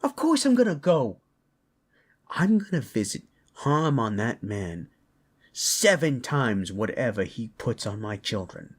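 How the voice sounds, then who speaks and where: A man's voice: lowish voice, lively intonation, a little slow, breathy edge. A man voicing a hot-tempered, vengeful father and roguish paladin, brash and blunt, in a fantasy audio roleplay. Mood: furious. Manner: brash, blunt, foul-mouthed.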